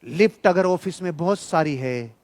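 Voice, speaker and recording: A man's voice: fairly high pitch, lively intonation, moderate pace, darkish tone. A man speaking as a motivational speaker at a seminar, emphatic and colloquial, asking rhetorical questions and answering them punchily. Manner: colloquial, jokey asides to listeners. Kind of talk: motivational seminar talk to a live audience.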